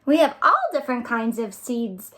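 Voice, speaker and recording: A woman's voice: a little high, expressive, normal speed, a bit bright. A woman hosting a children's storytime, reading aloud in an exaggerated, sing-song way for young listeners. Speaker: children's librarian, storytime host. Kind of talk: children's storytime, read-aloud and singing along.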